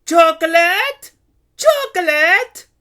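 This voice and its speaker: A shrill, very high-pitched woman stall keeper from a storybook. She is loud and scornful, booming and barking her words in big haughty swoops. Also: huge pitch swings, a little slow, gravelly, full character voice. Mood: hawking loudly.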